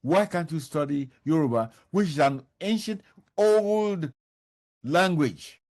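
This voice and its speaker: A man's voice: a little high, expressive, slow, darkish tone. An older man answering interview questions, emphatic and repeating his key points. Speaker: professor, interview guest.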